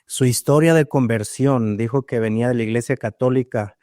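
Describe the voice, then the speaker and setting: Mellow-voiced man hosting an interview. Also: mid-range voice, a little fast, no echo, clean studio sound. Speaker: podcast host, interviewer. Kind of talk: interview questions.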